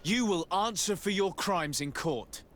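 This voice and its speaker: An actor playing a resolute noble envoy in a game, composed, formal and authoritative. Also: male voice, high voice, lively intonation, measured pace, fairly bright tone, slight background noise. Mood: stern.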